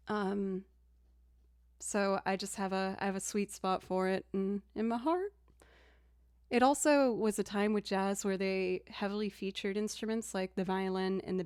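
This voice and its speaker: A young woman with a low alto voice, chatting casually on a live stream and thanking viewers as she talks. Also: darkish tone, clear, resonant tone, no room sound, clean studio sound. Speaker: streamer and musician.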